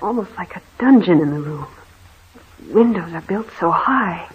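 An actress playing a frightened, anxious wife in an old radio horror drama, fretful and pleading. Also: female voice, fairly low pitch, lively intonation, normal speed, crowd noise, lightly acted. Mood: uneasy. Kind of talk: vintage radio horror acting. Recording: tinny, hissy old-time radio recording.

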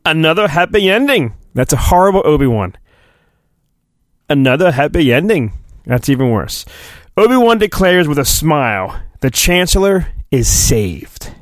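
A man's voice: a little high, big pitch swings, normal speed, no echo, clean studio sound. A male podcast host giving a mock-dramatic storybook reading, with hammy emphasis and rambling joking asides. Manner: hammy, exaggerated emphasis, digressive.

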